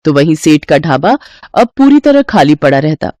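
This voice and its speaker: A woman's voice: deep voice, very animated, normal speed, warm tone, no echo. A woman narrating a scripted story as the storyteller.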